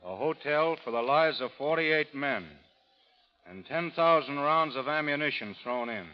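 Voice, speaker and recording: An actor playing a steady, wry frontier gunman with an American accent, understated and drawling, in old radio drama style. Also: a little high, a little slow. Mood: easygoing, laconic. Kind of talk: 1930s-40s radio drama acting. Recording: dull vintage radio recording.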